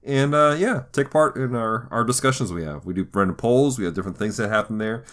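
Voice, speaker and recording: A man's voice: mid-range voice, a little fast, fairly bright tone. A man hosting a podcast, chatting casually in a jokey, easygoing conversational style. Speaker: podcast host. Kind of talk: casual chat.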